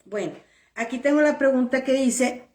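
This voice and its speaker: A woman with a somewhat high voice answers viewer questions about immigration papers. She is firm and blunt and keeps repeating her key points. The recording has a roomy echo. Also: normal speed, a little mellow, distant mic. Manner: firm, blunt and repetitive, stressing points plainly. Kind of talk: direct-to-camera advice video.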